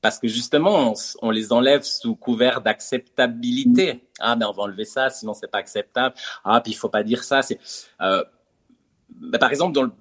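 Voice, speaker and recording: A man's voice: medium pitch, a bit bright. A man, a comedian and theatre teacher, talking casually in an interview, with many fillers and repeated words.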